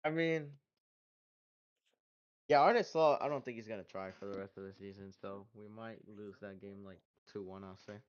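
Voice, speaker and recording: Laid-back young man, short replies, lowish voice, dull muffled audio. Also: moderate pace, clean tone. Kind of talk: casual livestream chat.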